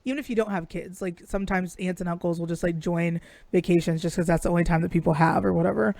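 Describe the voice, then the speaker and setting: Woman, raspy, swears freely, dry close sound, chatty storyteller. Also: on the low side, fairly even intonation, quick pace, a little mellow, no room sound. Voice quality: raspy today. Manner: profane, storytelling. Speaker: podcast co-host. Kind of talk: casual chat.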